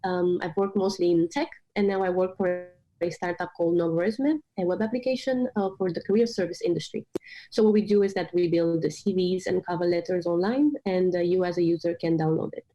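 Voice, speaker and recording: A woman's voice: fairly low pitch, steady tone, a little fast, dark tone, faint music behind, rough recording. A woman from Romania in her late twenties, speaking as an interview guest with many hesitant fillers. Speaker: guest, startup product manager. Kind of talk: remote interview.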